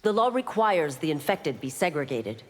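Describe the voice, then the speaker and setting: Low-voiced, stern law enforcer. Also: female voice, sing-song, moderate pace. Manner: composed, formal, firm. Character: stern, dutiful female law enforcer warrior. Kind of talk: video game character dialogue. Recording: reverberant, very noisy game audio.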